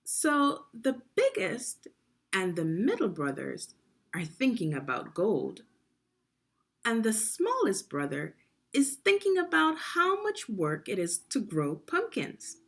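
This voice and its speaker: A woman teacher reading a story aloud to children in an expressive, deliberate, instructional way, pausing with guiding questions. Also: a little high.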